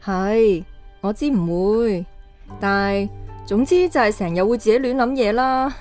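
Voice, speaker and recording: A young actress playing a gentle woman haunted by lost love in a radio drama, with a Hong Kong Cantonese accent. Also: medium pitch, a little slow, soft background music, natural voice. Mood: troubled, hesitant. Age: young adult.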